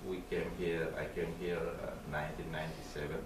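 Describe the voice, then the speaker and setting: A man, introducing himself at a council meeting in a halting way, full of fillers. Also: very deep voice. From Indonesia. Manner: halting, frequent fillers and restarts. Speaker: college professor speaking at public comment. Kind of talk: informal public introduction. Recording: echoey room picked up from far off, steady noise.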